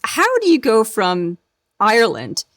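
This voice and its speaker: A woman hosting a leadership podcast, chatty and quick to laugh at herself. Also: a little high, huge pitch swings. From Canada. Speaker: podcast host. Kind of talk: podcast intro and interview.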